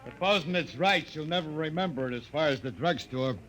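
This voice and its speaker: A gruff but amused bartender, acted by a man in vintage radio drama. His fairly high voice is brusque and wisecracking. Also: a little fast, fairly bright tone, close to an everyday voice, poor audio. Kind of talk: 1950s radio drama acting.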